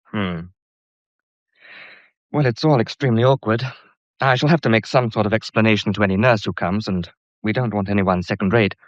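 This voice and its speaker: A man's voice: lowish voice, moderate pace, pauses often, no room sound, dull audio, lightly acted. A man playing a courteous, probing English family doctor in a period audio drama, businesslike and polite.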